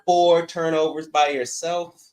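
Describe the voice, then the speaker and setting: A man's voice: fairly high pitch, level tone, measured pace, darkish tone. A man co-hosting a podcast, talking sports casually. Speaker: podcast co-host. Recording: roomy echo on the voice.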